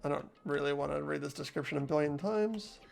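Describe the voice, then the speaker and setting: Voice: medium pitch, brisk, creaky, darkish tone. A game player chatting casually and jokingly during a playthrough with friends. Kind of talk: casual gaming chat. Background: faint game music.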